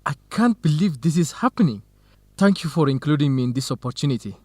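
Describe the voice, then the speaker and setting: Animated, earnest young man. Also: fairly high pitch, fast talker, no room sound. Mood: amazed, grateful. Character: earnest, modest young Ethiopian male research partner and interpreter. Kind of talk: educational radio drama acting.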